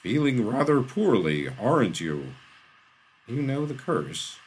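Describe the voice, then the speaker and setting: A man voicing a powerful female sorceress heard from thin air in a storybook, menacing and mocking, slow, loud and imperious. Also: mid-range voice, wildly swooping pitch, slightly rough, voice pushed up, exaggerated voice.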